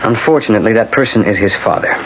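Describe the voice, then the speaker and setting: An actor playing a weary, sardonic defense lawyer in a radio drama. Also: male voice, on the low side, fast talker, lightly acted. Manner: sardonic, glum. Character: weary, wry male defense lawyer expecting defeat. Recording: muffled, noisy old radio broadcast.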